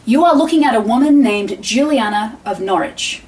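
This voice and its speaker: A woman's voice: a little high, lively intonation, moderate pace, darkish tone, natural voice. A woman acting as a courteous defense attorney, giving an articulate, explanatory opening statement to a jury in an audio drama. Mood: composed, explanatory. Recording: distant mic, faint background noise.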